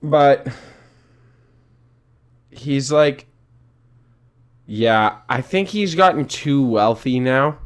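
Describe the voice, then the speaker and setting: A man's voice: medium pitch, drawn-out words, pauses often, smooth. A man around thirty co-hosting a podcast, casual, blunt and opinionated, with frequent swearing. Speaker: podcast co-host. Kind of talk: casual chat.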